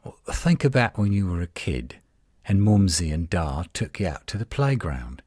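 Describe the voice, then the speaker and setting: A man playing a cocky, sardonic werewolf guardian in a roleplay audio, teasing and flirtatious, with a husky, drawling delivery. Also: sits low, sing-song, normal speed, a little mellow, no room sound, studio quality, full character voice. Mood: teasing, seductive. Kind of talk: roleplay audio acting, one side of a dialogue.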